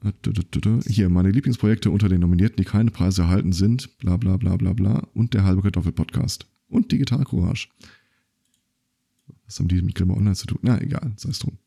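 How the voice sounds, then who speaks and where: A man talks in a low register with a warm, dark tone. He hosts a casual podcast and tells his stories loosely, full of fillers and restarts. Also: a little fast, gravelly, slightly rough. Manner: rambling storyteller, many fillers and restarts. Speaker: podcast host.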